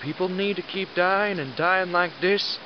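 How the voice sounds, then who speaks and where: A man playing a blunt, commanding, gruffly fatherly squad leader with a sarcastic edge in an audio drama. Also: sits high, measured pace, lo-fi sound, background noise. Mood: stern, exasperated.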